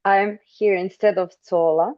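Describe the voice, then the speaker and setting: A woman's voice: mid-range voice, steady tone, measured pace, no echo. Woman, dull dry call audio. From Croatia. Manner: hesitant, many filler sounds. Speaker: representative of a national hub. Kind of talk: online webinar introduction.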